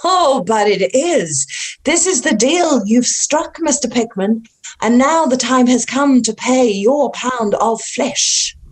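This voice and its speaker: A woman's voice: a little high, animated, slow pace, a little hiss or hum. A woman playing an ancient, inhuman cult acolyte in a horror script read, speaking with grandiose, taunting deliberation. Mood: gloating, menacing. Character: sinister ancient female thing, cultic acolyte of cosmic gods, grandiose, taunting. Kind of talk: dramatic horror table read.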